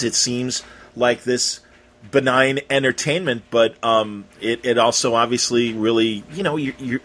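Male interview host, mid-range voice with a husky grain, conversational and halting as he works toward his questions. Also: mid-range voice, fairly bright tone.

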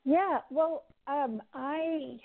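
A chatty woman with a gravelly voice, stumbling through restarts as she talks. She comes through a tinny, dry phone line. Her pitch sits in the middle. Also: extremely slow, no echo. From born in Guyana, raised in England and the Caribbean. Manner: chatty, with many restarts and fillers. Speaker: author guest. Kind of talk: conversational interview answers.

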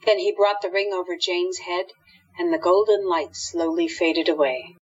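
A woman's voice: fairly low pitch, fairly even intonation, normal speed, breathy edge. A woman narrating a scripted radio drama as storyteller, on dull lo-fi audio.

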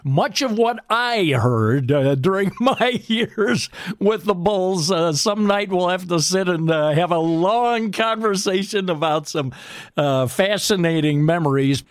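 A man hosting a sports radio show, asking questions and signing off in a measured, courteous way. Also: sits high, clear, resonant tone, dry recording, studio quality. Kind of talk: radio interview and sign-off.

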